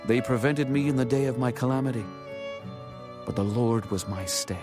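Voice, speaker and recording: An actor playing a devout warrior king, reciting scripture in a reverent, declamatory, deliberate way. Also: medium pitch, over music, lightly acted. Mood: reverent, grateful. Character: devout warrior king singing God's praise in verse.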